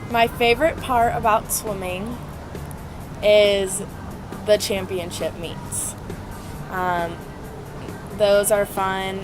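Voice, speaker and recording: A woman's voice: mid-range voice, fairly even intonation, slow pace, long pauses. A young American woman from Arizona, a student athlete answering profile interview questions about herself. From Phoenix, Arizona, USA. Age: young adult. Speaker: student athlete, college swimmer. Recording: prominent music under the voice. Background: music bed.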